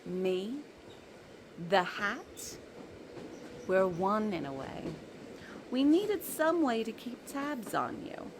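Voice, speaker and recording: Woman playing a slow, smug magical villain. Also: mid-range voice, sing-song, noisy background. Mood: coldly taunting. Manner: mocking, silky, taunting. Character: smug villainous magical creature bound to an evil hat. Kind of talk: audio drama acting.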